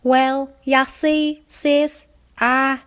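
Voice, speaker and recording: A woman's voice: sits high, level tone, very slow, fairly bright tone, voice pushed up, heavily acted. A woman voicing a nervous young country filly, a little sister with a hesitant, folksy drawl. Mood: sheepish.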